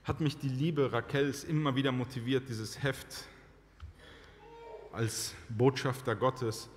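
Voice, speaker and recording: A man's voice: medium pitch, steady tone, slight background noise. Earnest preacher man in echoing church. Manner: earnest, slows down to stress key phrases. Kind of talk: personal testimony sermon. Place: church sanctuary, large indoor hall. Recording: reverberant church hall.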